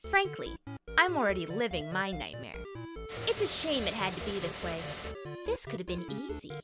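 A woman's voice: medium pitch, huge pitch swings, normal speed, music in the background. A woman voicing a sinister, mocking demon child in a dramatic comic dub, taunting cruelly and sometimes dropping into a whisper. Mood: bitter, menacing.

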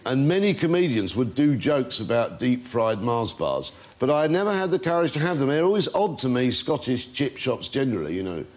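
A man's voice: medium pitch, big pitch swings, fairly quick, few pauses. A man on a comedy panel telling rambling, chuckling anecdotes.

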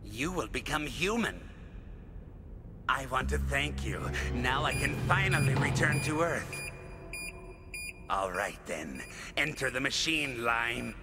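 A man's voice: mid-range voice, expressive, takes it slowly, long pauses, loud music behind the voice. A man playing a scheming villain doctor in an anime dub, politely menacing and mockingly courteous. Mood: smugly pleased. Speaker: voice actor in an English anime dub.

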